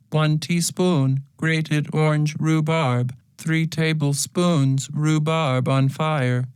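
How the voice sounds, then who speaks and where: A woman voicing an emotionless robotic machine in a video game, reciting a list in a matter-of-fact monotone. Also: low voice, clear, resonant tone, dry recording, studio quality.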